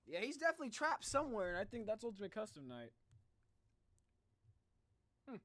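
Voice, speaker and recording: A man streaming a casual reaction, thinking out loud in slangy talk and addressing his viewers directly. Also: high-pitched, wildly swooping pitch, fairly quick, dry recording. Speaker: streamer, video host. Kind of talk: casual livestream reaction chat.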